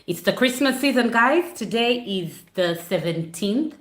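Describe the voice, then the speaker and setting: A woman's voice: on the high side, lively intonation, unhurried, a bit bright, reverberant. A woman from Uganda chatting casually on a livestream from her living room, apologetic and easily distracted. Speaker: vlogger hosting a livestream. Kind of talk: casual chat to a live audience. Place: home living room near a Christmas tree. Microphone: computer setup for a livestream.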